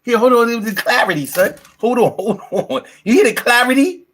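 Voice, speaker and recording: A man's voice: very high-pitched, normal speed, a bit bright, a little hiss or hum. A man in his fifties hosting a casual livestream, talking in brash, slangy, profane style and repeating phrases for emphasis. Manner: brash, heavily profane, slangy street talk. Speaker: livestream host.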